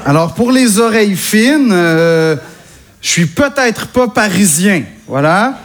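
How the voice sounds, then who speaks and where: A man's voice: high voice, expressive, slow pace. A young Québécois man doing a self-mocking stand-up comedy set on stage, chatty and full of hesitations. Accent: Québécois French. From Quebec, Canada. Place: stage before a live audience. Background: audience laughter.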